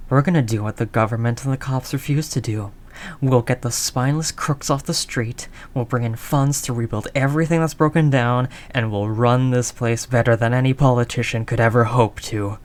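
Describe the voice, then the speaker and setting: A woman playing a tough, doting mafia heiress girlfriend in an intimate audio roleplay, low-voiced, talking in affectionate slangy tough talk. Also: normal speed, a little mellow. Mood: devoted, earnest.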